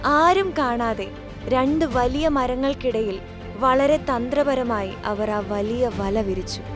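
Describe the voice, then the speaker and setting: A woman reads out a children's story as its narrator. Her voice is high, and music plays clearly underneath her. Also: takes it slowly. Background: clear background music.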